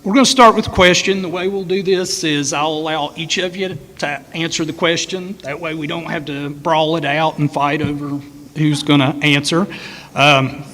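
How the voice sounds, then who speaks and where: Male panel moderator, somewhat high and bright, easygoing and wry, in a reverberant hall. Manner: relaxed, joking, with frequent fillers. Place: conference panel room. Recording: echoey hall with long reverb.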